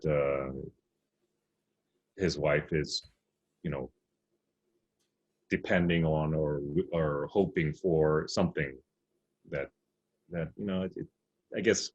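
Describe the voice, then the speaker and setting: A man's voice: rumbling low, slightly rough, dull audio. A man speaking hesitantly on a panel discussion, with many restarts and fillers. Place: video call.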